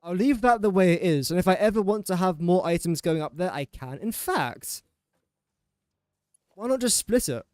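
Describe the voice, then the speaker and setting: A man's voice: high voice, expressive, moderate pace, darkish tone, dry recording, studio quality. A young man from England with an English accent, chatting casually while streaming a building game and talking to the pieces he places in a self-deprecating way. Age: young adult. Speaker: gaming streamer. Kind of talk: casual live gameplay commentary.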